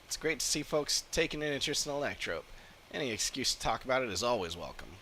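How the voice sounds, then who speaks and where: A man's voice: medium pitch, very animated, fast, bright, forceful tone, slight background noise, voice pushed up. A man voicing a proud, eager machinery enthusiast from a game, explaining things chattily as he reads the dialogue. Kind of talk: reading game dialogue in character. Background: game music.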